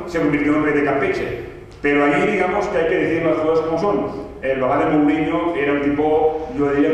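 A man in his forties, an author, telling a long story to an audience at a panel table, with lively asides and gestures. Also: fairly high pitch. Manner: animated storytelling with wide hand gestures and asides. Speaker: author presenting his book. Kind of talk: lecture-style talk to an audience. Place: meeting room at a panel table. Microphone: table mic on a stand. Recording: roomy hall sound, mic at a distance, faint hiss.

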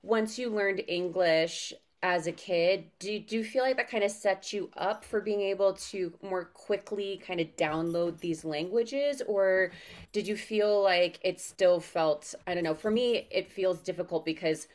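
A woman's voice: mid-range voice, unhurried. A young adult woman hosting a podcast interview over a video call from home, chatty and bubbly. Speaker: podcast host. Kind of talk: casual interview chat.

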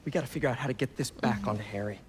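A man's voice: medium pitch, racing, a little hiss or hum, lightly acted. An actor playing an earnest, quippy young male superhero in a video game.

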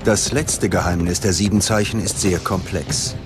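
A man's voice: sits low, fairly even intonation, normal speed. A man narrating a scripted documentary in a dramatic, ominous manner. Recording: loud music behind the voice. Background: music.

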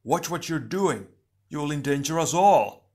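A man voicing a wise earth warrior who calls out loudly and urgently to comrades. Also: a little high, animated, a little slow, voice pushed up. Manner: loud, urgent.

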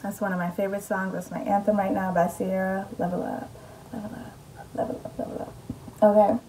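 A woman's voice: mid-range voice, flat delivery, fairly quick, mellow, a little hiss or hum, rough recording. Chatty young woman vlogging at home. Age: young adult. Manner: chatty, upbeat, many ums. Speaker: mom vlogger. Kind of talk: casual vlog chat. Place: bedroom at home, indoors. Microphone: camera in front at close range.

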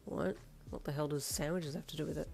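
A woman's voice: low-pitched, fairly even intonation, fast, gravelly, darkish tone, soft background music. A woman voicing a nonchalant young boyfriend in an audio story, with dry, teasing delivery. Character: laid-back, teasing young boyfriend, smirking and unfazed. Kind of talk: acted audio story dialogue.

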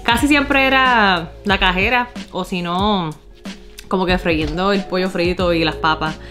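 A woman's voice: on the low side, measured pace, fairly bright tone, soft background music. A woman in her twenties from Puerto Rico, with a Puerto Rican accent, telling personal stories in casual, slangy talk mixed with English words. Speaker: vlogger. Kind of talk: casual chat, personal storytelling. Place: living room at home.

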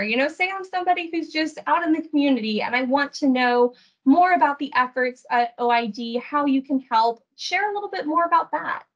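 Young woman host, higher voice, friendly and polished, slightly dull video-call sound. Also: slightly rough. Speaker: interview host.

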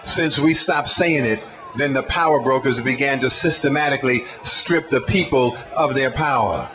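A man in his sixties speaking deliberately and emphatically at an outdoor rally through a handheld microphone. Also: mid-range voice, a bit bright. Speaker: activist speaker. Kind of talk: rally speech. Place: outdoor city street stage.

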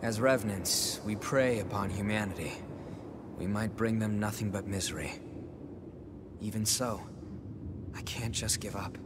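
A man voices an earnest revenant in a video game, burdened but resolute. His voice is low, his delivery measured and reflective. Loud ambient noise sits behind him. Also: close to an everyday voice. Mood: solemn, resolute. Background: loud ambient game sound.

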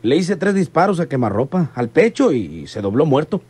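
An actor playing a cold but deferential gangster henchman in a radio serial, with a husky voice. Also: on the high side, very animated, normal speed, darkish tone, dry recording. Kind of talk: old-time radio serial acting.

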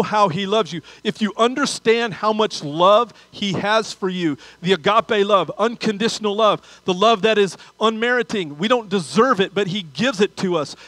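A man's voice: high voice, fairly bright tone, no room sound, poor audio. Older man preaching conversationally. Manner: conversational preaching with repeated phrases and rhetorical questions. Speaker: pastor. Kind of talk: sermon. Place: large church auditorium stage.

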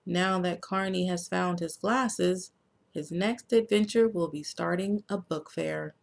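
Female storybook narrator, American, unhurried and expressive read-aloud, mid-range voice with gravelly creak. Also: fairly low pitch. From United States. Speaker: children's author reading own book. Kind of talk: scripted storybook narration for children.

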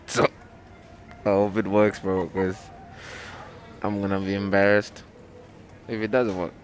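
A man's voice: fairly low pitch, level tone, measured pace. A young man in a casual selfie vlog, joking and boasting in street slang as he walks around outdoors, talking into a wired earphone mic. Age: young adult. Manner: joking, swaggering, self-mocking street slang. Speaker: vlogger. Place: outdoors on city streets and inside a shop. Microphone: wired earphone mic, handheld phone from below. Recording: loud street noise from traffic.

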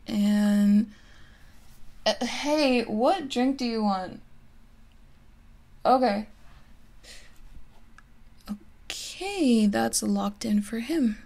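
A woman's voice: a little high, creaky, darkish tone, full character voice. A woman playing a teasing, competitive gamer best friend in a casual, giggly ASMR roleplay. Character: playful, competitive gamer girl, listener's close best friend. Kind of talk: ASMR roleplay, casual one-sided conversation.

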